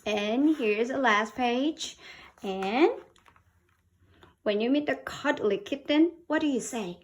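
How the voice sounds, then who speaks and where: A woman from South Korea reading a picture book to young children as a teacher, with simple, expressive talk and questions. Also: on the high side, slow pace. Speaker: children's English read-aloud teacher.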